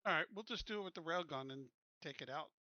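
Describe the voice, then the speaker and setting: A man with a bright, forceful and slightly high voice talks casually as a player in a tabletop game. The audio is close and a touch dull. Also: fast, dry recording.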